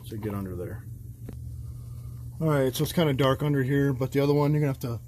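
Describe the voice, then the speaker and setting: Guy mechanic talking thru a wiring fix, kinda rambly, uh's and i mean's, mid pitch. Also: fast talker, a little mellow, a little hiss or hum. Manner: loose, rambling, with fillers. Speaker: auto mechanic. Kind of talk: casual repair walkthrough. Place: garage, under a truck.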